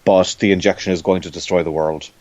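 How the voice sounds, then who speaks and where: A man's voice: sits low, fairly even intonation, fast talker, darkish tone, no room sound, dull audio. A man with an Irish accent, a comic book creator chatting as a podcast guest and giving rambling explanations. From Ireland. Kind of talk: casual podcast interview.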